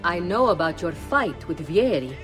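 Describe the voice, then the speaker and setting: A woman's voice: lowish voice, very animated, normal speed, faint music behind, rough recording. An actress playing a shrewd, poised middle-aged noblewoman and mother with an Italian accent in a video game. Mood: knowing, composed. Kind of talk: video game cutscene acting.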